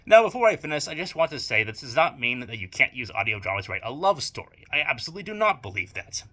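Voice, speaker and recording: Bright-voiced man giving formal advice. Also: mid-range voice, very animated. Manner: measured, formal, with dry emphatic repetition. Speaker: audio drama creator giving advice. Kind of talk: scripted video essay.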